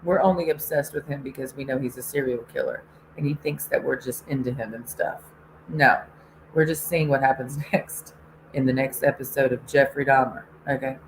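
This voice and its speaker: A woman with a notably low voice rants in a loose, sarcastic monologue, laughing between jabs and swearing freely. Faint noise sits under the recording. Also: moderate pace, low-quality recording. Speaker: online show host. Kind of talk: casual ranting monologue.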